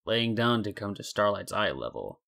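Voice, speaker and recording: A man's voice: lowish voice, sing-song, normal speed, vocal fry, sharp tone. A man narrating a scripted story in a dramatic reading style. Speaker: narrator and fan fiction reader.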